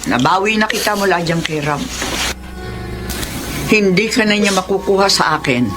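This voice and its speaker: A woman's voice: medium pitch, animated, moderate pace, background noise, lightly acted. An actress playing a protective, hot-tempered middle-aged Filipino mother in a radio drama, with emphatic, emotional delivery. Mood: protective, soothing.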